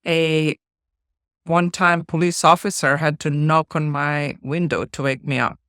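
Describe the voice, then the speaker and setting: A middle-aged woman from Bosnia, a surgeon giving measured, earnest and humble answers in an interview. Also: low voice, clear, resonant tone, dry recording, studio quality.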